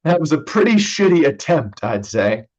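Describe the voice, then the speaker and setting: A man's voice: a little high, sing-song, moderate pace, darkish tone. A young man playing a blunt, sardonic and wounded son and younger brother in a staged reading of a play, recorded in lo-fi. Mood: bitter, wounded. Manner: hesitant, blunt, swearing. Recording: dull, lo-fi online recording.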